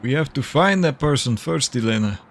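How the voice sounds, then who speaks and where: A senior male detective in a sci-fi audio drama, played by a man. He speaks in a measured, mentoring way, reasoning through each point. His voice has a noticeable gravelly fry. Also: medium pitch, expressive.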